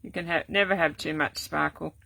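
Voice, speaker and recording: An older woman, chatting casually through a live card-making demo, rambling with many ums and restarts, her voice going croaky. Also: deep voice, steady tone, a little fast, bright, forceful tone, dry recording, poor audio. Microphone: camera set up at desk.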